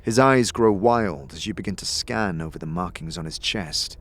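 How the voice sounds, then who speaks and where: A man with a husky, gravelly voice reading scripted second-person fantasy narration as narrator and game master. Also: deep voice, moderate pace, a bit bright.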